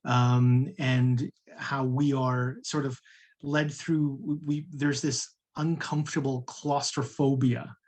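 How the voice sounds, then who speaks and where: A man's voice: mid-range voice, little pitch movement, slow pace, a little mellow. A man asking a question at an online lecture over a video call, rambling and apologetic as he backs up to explain. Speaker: audience member asking a question.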